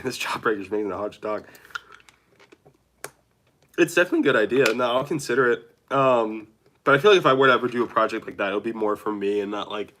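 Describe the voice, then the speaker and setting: A young man chatting on a live stream, nasal and dry-throated from a cold, rambling and reading viewer messages haltingly. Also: on the low side, pushed and bright. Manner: rambling, chatty, reads chat aloud haltingly. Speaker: livestreamer.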